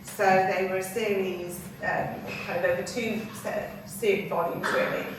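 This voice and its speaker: A woman's voice: mid-range voice, fairly even intonation, unhurried. A woman from a museum's collections side explaining its history at a gallery talk, with frequent hesitations. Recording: distant echoey mic with noise.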